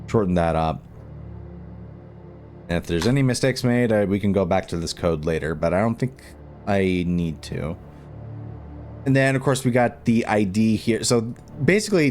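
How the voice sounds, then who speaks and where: A man explaining steps in a casual coding tutorial, haltingly thinking aloud as he goes. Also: on the low side, a little mellow. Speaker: tutorial host.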